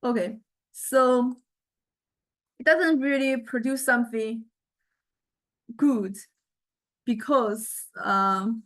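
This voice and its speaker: A woman with a fairly high voice gives an informal software lecture. She thinks aloud as she goes, explaining things tentatively and in halting phrases, like an instructor walking a class through a demo. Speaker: music technology instructor. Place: recorded software lesson.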